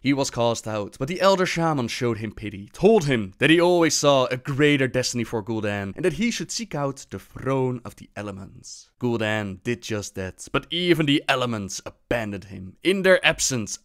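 A man's voice: mid-range voice, animated, normal speed, hardly any pauses. A man hosting a lore video with scripted storytelling narration.

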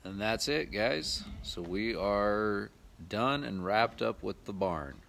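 A man's voice: fairly low pitch, lively intonation, takes it slowly, creaky, fairly bright tone. An American man in his thirties talking casually to viewers in a laid-back, plain-spoken way, like a DIY vlogger. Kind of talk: casual vlog narration to viewers.

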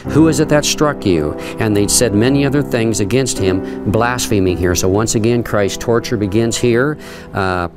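A middle-aged man leading a tour and teaching, reading scripture aloud and explaining it earnestly, with frequent fillers and restarts, on a stone terrace outdoors. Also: fairly low pitch. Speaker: tour guide and Bible teacher. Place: outdoor stone terrace, then an underground rock dungeon.

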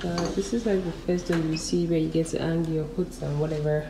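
A woman's voice: sits low, steady tone, brisk, vocal fry, far from the mic. A young woman, chatting casually as she walks through an empty apartment on a handheld phone camera. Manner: chatty, talks while walking through rooms. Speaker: vlogger. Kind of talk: casual vlog narration. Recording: echoey bare room with background noise.

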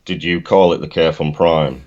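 A man in his thirties with a very low voice talks emphatically, repeating his words and dropping British slang. The sound is dull and lo-fi, like a webcam call. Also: steady tone, moderate pace. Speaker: co-host of a vaping live show. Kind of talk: casual live-stream chat. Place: home room, indoors.

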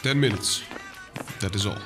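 Man as a low-pitched clinical medic. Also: normal speed, over loud music, lightly acted. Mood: curt, formal. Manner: clinical, formal. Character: curt, clinical male medical staffer. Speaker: actor in an audio drama.

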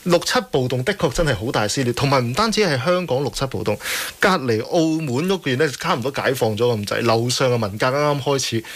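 A fast-talking man with a mid-pitched voice and a Hong Kong Cantonese accent. He comments on politics like an academic on talk radio, colloquial and ironic. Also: lively intonation, a bit bright. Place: radio studio.

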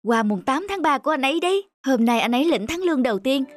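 A woman's voice: sits high, animated, fast, music in the background. A woman voicing a chatty, upbeat and boastful young woman in a cartoon. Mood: cheerful, boastful. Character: chatty, cheerfully boastful young female friend. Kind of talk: cartoon dubbing.

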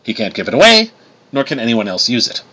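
A proud male dwarf smith, voiced by a male narrator. He talks formally and deliberately with dry humor. The pitch moves widely and the tone is bright. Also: wildly swooping pitch, exaggerated voice. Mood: matter-of-fact.

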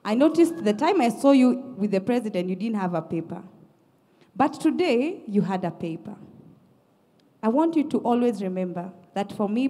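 A woman's voice: mid-range voice, dark tone. A woman giving emphatic, effusive spoken feedback to performers as a judge, recorded in a reverberant auditorium. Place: large indoor theatre auditorium. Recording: echoey auditorium with long reverb.

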